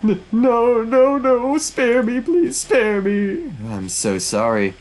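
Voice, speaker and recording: A man voicing a cowardly bishop in a panic, stammering and pleading for his life in a shrill voice. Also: unhurried. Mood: terrified pleading.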